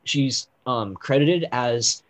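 A man's voice: medium pitch, slow pace. Rambling casual man chatting on a podcast. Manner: rambling, heavy on "like" and "um" fillers; gets loud and animated when riled up. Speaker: podcast co-host. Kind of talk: casual pop-culture chat.

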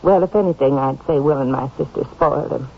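A muffled old radio recording of a small-town American aunt. Her voice is husky, and she speaks politely and chattily with a troubled, obliging manner. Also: a bass voice, fast, exaggerated voice. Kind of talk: 1950s radio drama acting.

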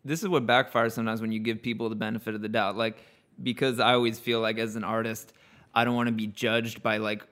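A man's voice: lowish voice, level tone, quick pace, a bit bright. A man in his thirties, a podcast guest, musing at length in casual chat with lots of restarts and trailing thoughts. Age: 30s. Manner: reflective, rambling, many restarts.

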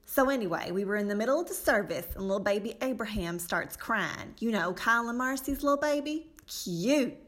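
A woman's voice: medium pitch, animated, a little fast, few pauses, a bit bright, lightly acted. A woman playing a gossipy, self-righteous and easily exasperated church lady in a comedic radio play, with a folksy, indignant, dramatic delivery. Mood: gossipy, disapproving.

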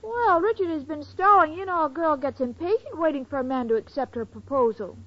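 A woman's voice: sits high, lively intonation, brisk. An American actress playing a sweet, naive, trusting young woman in a 1940s radio comedy. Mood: earnest. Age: young adult. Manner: naive, earnest. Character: sweet, dim-witted, trusting young female girlfriend. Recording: dull old radio, studio audience behind.

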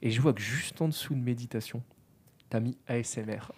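Man, podcast co-host, quick chatty delivery, mid voice, rambling fillers. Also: mid-range voice, a little mellow, breathy edge. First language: French. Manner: talkative, self-deprecating, many fillers. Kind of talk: casual podcast chat.

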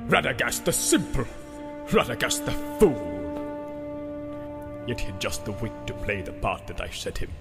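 A man putting on a slow, hushed, sneering old wizard. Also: on the low side, in a large hall, loud music behind the voice, pitched below the performer's own voice. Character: scornful proud old male wizard, cold and slow.

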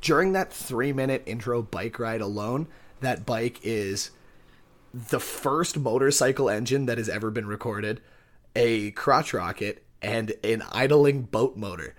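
A man's voice: medium pitch, unhurried. A young man, a podcast co-host, chatting casually and self-deprecatingly about making his audio play. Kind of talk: casual chat and hammy audio play acting.

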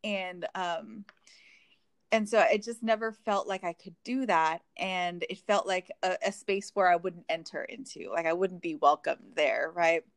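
A woman's voice: lowish voice, a little slow, no room sound, clean studio sound. A woman from Hawaii in a reflective, casual conversation, talking with many fillers and restarts.

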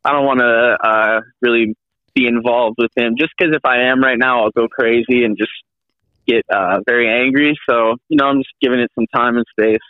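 A man in his late twenties, a podcast guest, talking casually in a halting, rambling way full of restarts. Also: medium pitch, steady tone, dry recording. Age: 20s. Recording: tinny phone-like line.

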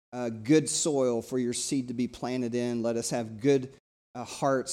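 A middle-aged man from East Tennessee, preaching a live church sermon in a conversational, energetic way that invites the congregation to talk back. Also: mid-range voice. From East Tennessee, USA. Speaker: pastor.

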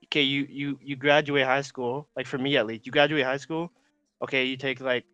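A man's voice: medium pitch, little pitch movement, a little fast, pushed and bright, clear, resonant tone, no room sound, muffled recording. A man in his late teens from Canada, chatting as a podcast guest in rambling slang full of "like" and "bro". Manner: laid-back, rambling slang with many fillers. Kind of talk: casual chat.

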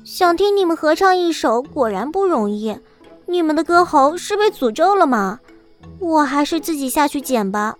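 Woman voicing cute young fangirl, audio drama, high pitch, mischievous, mock complaints, light music behind. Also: sing-song, normal speed. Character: cutesy, mischievous young female fan in online chat. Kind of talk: Chinese online audio drama acting. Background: faint music.

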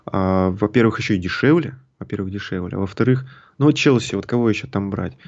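A man co-hosting a casual football podcast, relaxed and easy to laugh. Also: lowish voice, fast. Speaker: podcast co-host. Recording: dull lo-fi sound.

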